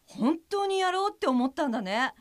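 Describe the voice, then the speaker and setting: A woman playing a supportive, cheerful young care worker in an audio drama, with an animated, reassuring manner. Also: high-pitched, brisk, no room sound, clean studio sound. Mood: excited.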